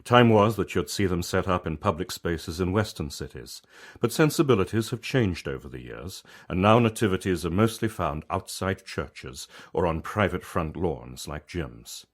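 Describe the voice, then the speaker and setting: A man narrating a written story as its author, in a measured, reflective and conversational storyteller's manner. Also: sits low, animated. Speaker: author reading own story.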